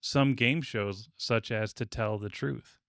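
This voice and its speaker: A man's voice: on the low side, slow, vocal fry, a bit bright, no echo, studio quality. A man hosting a casual podcast discussion, explaining things in a hesitant way with asides. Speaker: podcast host. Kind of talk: casual discussion with research notes.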